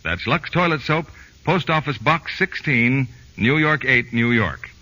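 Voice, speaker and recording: A man's voice: mid-range voice, expressive, unhurried, sharp tone, dull audio. An American man announcing on 1940s radio, reading a scripted advertisement, on an old broadcast recording.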